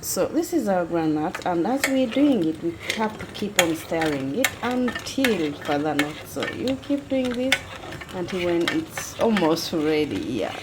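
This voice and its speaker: A woman's voice: on the low side, sing-song, measured pace, heavy background noise. A young Ugandan woman hosting a casual cooking vlog in her home kitchen, chatting her way through each step and often correcting herself mid-sentence. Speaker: vlogger.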